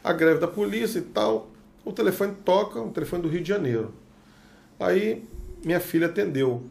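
A man with an Espírito Santo Brazilian Portuguese accent tells a story on a podcast as a guest chef. His voice sits a little high and is heavily gravelly, full of vocal fry. He slips into the other people's lines as he tells it. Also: moderate pace. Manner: lively storyteller who acts out the dialogue. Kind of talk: casual anecdote telling.

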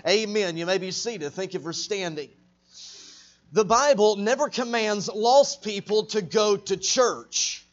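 A man, a traveling evangelist preaching to a church congregation, rising to loud, emphatic, drawn-out stresses. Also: high voice, muffled recording. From Texas. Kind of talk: sermon. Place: church sanctuary.

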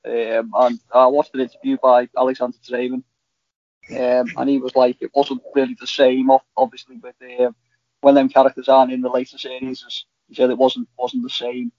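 A man's voice: medium pitch, completely flat delivery, fairly quick, darkish tone, dry recording. Liverpudlian man chatting, close and dry. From Liverpool, England. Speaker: podcast co-host. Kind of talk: casual chat reviewing TV shows. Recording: slightly dull, lo-fi audio.

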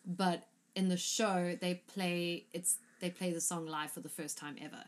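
A woman hosting a casual music chat, enthusiastic, with quick agreeing interjections and a habit of rambling. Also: on the low side, steady tone.

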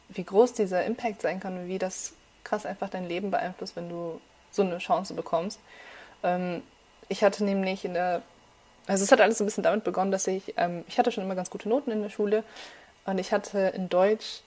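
A young woman in her twenties whose first language is Farsi, telling school stories as a podcast guest in a lively, casual way with many fillers. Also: on the low side. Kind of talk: casual storytelling.

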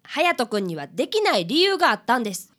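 A woman's voice: on the high side, sing-song, fast, a bit bright. A woman in a radio drama voicing an earnest fifth-grade boy detective who reports politely and formally. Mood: earnest, serious.

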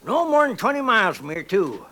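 An actor playing a toothless, stubbornly hopeful old prospector in a 1950s radio western, gravelly and rambling in a folksy American frontier drawl. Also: male voice, high voice, big pitch swings, brisk, pushed and bright, exaggerated voice. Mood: boastful, insistent. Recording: noisy 1950s radio recording.

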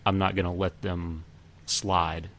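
A man's voice: deep voice, flat delivery, slow, a bit bright. A man talking casually as a podcast co-host, thinking aloud with some hesitation. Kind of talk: casual film discussion.

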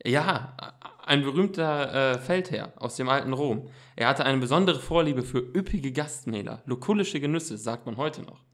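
A man's voice: medium pitch, expressive, normal speed, few pauses, fairly bright tone. A man playing an eager, self-assured boy detective who takes charge, in an amateur German radio play. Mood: curious.

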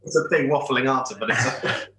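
Rambling English man, bright echoey sound. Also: mid-range voice, a little fast. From England. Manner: rambling, hesitant, many restarts. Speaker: shirt designer, guest. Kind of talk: casual chat over a video call. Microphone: video call. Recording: echoey room over internet call.

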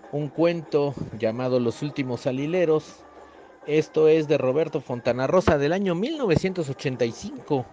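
A man's voice: a little high, darkish tone, lo-fi sound, a little hiss or hum. A male podcast host with a Mexican accent, giving a casual, chatty introduction with slangy asides.

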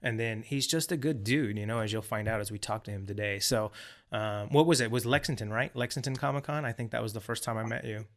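A man's voice: fairly low pitch, fast. A man co-hosting a casual show, talking in a rambling, friendly way with many fillers. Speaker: podcast co-host. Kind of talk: casual chat.

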